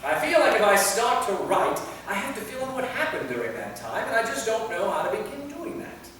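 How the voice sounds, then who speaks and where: A man's voice: sits high, big pitch swings, brisk, fairly bright tone. A man acting an anxious, earnest, centuries-old vampire knight in a live staged play reading. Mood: sheepish. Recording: echoey hall from far off, faint noise.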